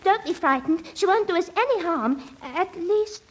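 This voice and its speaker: A woman's voice: extremely high pitch, lively intonation, moderate pace. A woman playing an eerie, sweetly insistent young girl in a mid-century radio comedy, serene and coaxing. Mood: eerily calm.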